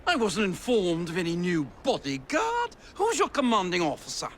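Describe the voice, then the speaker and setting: A man's voice: high-pitched, big pitch swings, normal speed, fairly bright tone, slight background noise. Blustering old English statesman. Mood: indignant. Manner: pompous, outraged. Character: blustering, indignant old British Prime Minister.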